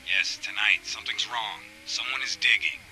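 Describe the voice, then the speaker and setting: An actor playing a crooked, bullying tough in a video game, hard and intimidating. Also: male voice, lowish voice, steady tone, normal speed, sharp tone, slight background noise, poor audio. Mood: threatening. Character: threatening, crooked male tough, bullying and impatient. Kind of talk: scripted game dialogue.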